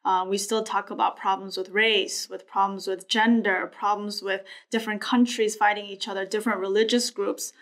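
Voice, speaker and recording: A woman in her thirties from Korea hosting a podcast, chatting quickly with lots of "like" and "you know". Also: medium pitch, level tone, a bit bright. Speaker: podcast host. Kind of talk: casual podcast chat. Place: small bright studio room, indoors. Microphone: desk microphone.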